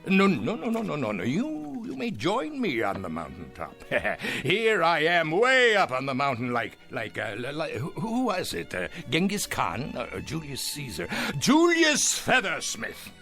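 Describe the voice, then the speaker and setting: Boastful old magnate, theatrical radio drama delivery, slow and savouring, very animated intonation, music underneath. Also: male voice, a little high, exaggerated voice. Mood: drunken, boastful. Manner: theatrical, gloating, savouring his words. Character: gloating, ruthless rich old businessman, boastful and lonely.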